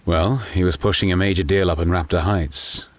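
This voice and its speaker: A man playing a determined undercover detective out for vengeance in an audio drama, husky-voiced, terse and dry. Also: low-pitched, fairly even intonation, moderate pace, natural voice. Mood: grim, determined.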